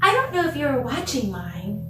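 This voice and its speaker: A woman teaching a children's online dance lesson, speaking in an encouraging, animated way with lots of questions and deliberate pauses. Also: high-pitched, music in the background. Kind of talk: children's online arts lesson, addressing viewers. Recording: echoey room, distant mic, faint noise.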